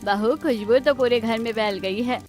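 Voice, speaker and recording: A woman's voice: fairly high pitch, moderate pace, darkish tone, faint music behind, lightly acted. A woman voicing a caring, practical mother-in-law in a cartoon story, motherly and encouraging.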